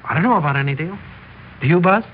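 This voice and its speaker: An actor playing a cold, mocking gangster boss in a 1960s radio drama, sarcastic, tough and slangy. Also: male voice, on the high side, lively intonation, quick pace, lightly acted. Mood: mocking menace. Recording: muffled, hissy old radio recording.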